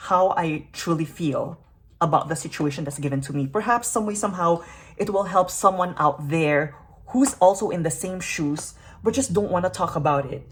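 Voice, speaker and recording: A young adult woman, a native Tagalog speaker, talking in an earnest, searching way in a reflective personal vlog. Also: on the low side. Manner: soft, earnest and searching, with fillers and self-questions. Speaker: makeup YouTuber.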